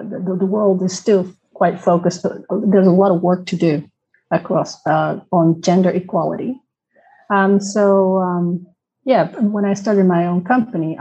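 A woman from Japan whose first language is Japanese, answering interview questions in a hesitant way with many pauses and restarts. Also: lowish voice, gravelly, mellow, slightly rough, highs cut off. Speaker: podcast guest.

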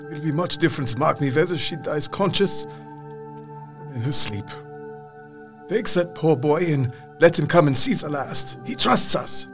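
Audio drama role: grave, fatherly older male doctor, slightly high voice, animated pitch, odd deliberate phrasing. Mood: grave, urgent.

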